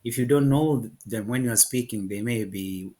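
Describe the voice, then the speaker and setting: A man's voice: medium pitch, normal speed, dark tone. A man, hosting a conversational interview and repeating phrases for emphasis. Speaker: interview host.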